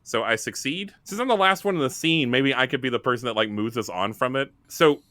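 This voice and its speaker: A man's voice: medium pitch, fairly quick, bright, forceful tone, no echo. A man chatting casually as he explains rules and plays in a tabletop roleplaying game.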